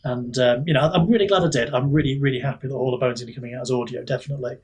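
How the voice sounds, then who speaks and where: A man with a husky voice talking candidly and at length as an author guest in a casual chat. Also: medium pitch, racing, darkish tone.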